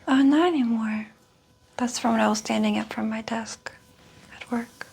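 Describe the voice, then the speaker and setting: A woman whispering as a patient in an ASMR exam roleplay, answering quietly with soft murmurs. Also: a little high, moderate pace, vocal fry, slightly rough.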